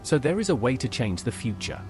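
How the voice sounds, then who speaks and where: A man's voice: medium pitch, very animated, brisk, close to an everyday voice. A man playing an inquisitive adventurer in a fantasy game, with a plain-spoken, questioning manner. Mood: curious. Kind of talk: AI-voiced fantasy game dialogue.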